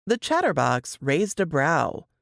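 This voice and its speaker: A woman reading a novel aloud in an expressive, measured and dramatic audiobook style. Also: sits low, creaky, no echo, studio quality. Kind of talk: expressive fiction audiobook narration.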